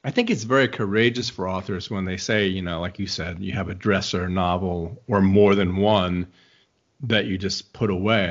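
A man interviewing an author in a relaxed, conversational way, asking long, meandering questions full of restarts and hedges. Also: sits low, breathy edge, dull audio. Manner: rambling, searching questions with many restarts.

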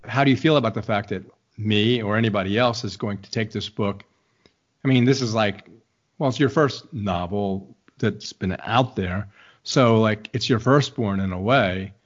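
An older man hosting a bookstore interview show, asking rambling, musing questions with many restarts. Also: on the low side. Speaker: bookstore radio host interviewing an author. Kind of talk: conversational interview. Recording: dull, lo-fi sound.

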